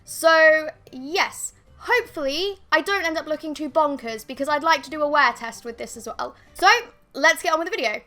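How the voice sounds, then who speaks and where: Chatty woman, slightly croaky. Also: sits high, normal speed, bright, forceful tone, faint music behind. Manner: chatty, rambling, self-deprecating. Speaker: beauty vlogger. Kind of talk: casual makeup review to camera. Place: bedroom with bookshelves, indoors. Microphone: fixed camera at desk.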